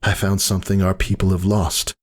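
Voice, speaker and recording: Man voicing a weary defiant pegasus mother, husky. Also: deep voice, level tone, fairly quick, pitched above the performer's own voice. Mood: earnest, proud. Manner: earnest, quietly defiant. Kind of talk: dramatic fan fiction reading.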